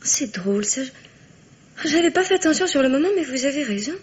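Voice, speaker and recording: A young woman playing an earnest, well-bred, spirited granddaughter in a radio drama, polite and at times hesitant, with a slightly breathy, husky voice. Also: high voice, animated, fairly quick. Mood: curious. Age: young adult. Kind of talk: French radio drama acting.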